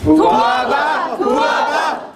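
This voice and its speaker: A man's voice: extremely high pitch, sing-song, slow, a loud crowd. A man interpreting for a film crew, hesitant and searching for his words. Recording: noisy set, heard from a distance.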